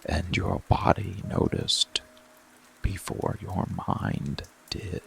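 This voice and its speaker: A man voicing a dark, seductive, protective monster lover in an ASMR roleplay, speaking in a breathy, gravelly murmur with deliberate pauses. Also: deep voice, a little hiss or hum, heavily acted. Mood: seductive, intense. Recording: rain and music behind close voice. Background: soft music and rainfall.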